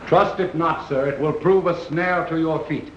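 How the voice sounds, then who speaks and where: Impassioned colonial statesman male actor, higher-pitched, declamatory, 1930s film drama with dull sound. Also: echoey room, a little hiss or hum, natural voice. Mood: grave. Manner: declamatory, measured, formal. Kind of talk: 1930s film acting, formal oratory.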